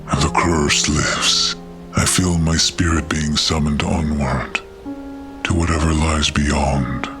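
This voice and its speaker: Very low-pitched ancient wolf spirit played by a man, slow, grave, gentle guardian, fantasy audio story. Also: fairly even intonation, over music. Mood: solemn, tender. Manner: slow, grave, measured delivery.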